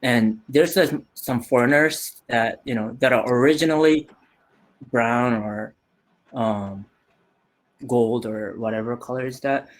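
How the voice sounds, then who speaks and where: Slow, hesitant young man from Japan. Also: mid-range voice, fairly even intonation, rough recording. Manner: hesitant, many fillers and restarts. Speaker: youth participant. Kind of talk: casual video call chat. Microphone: video call.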